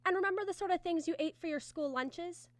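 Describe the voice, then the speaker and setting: A woman's voice: very high voice, fast talker, dry recording. A woman announcer reading act breaks and a sponsor message in an old-time radio style.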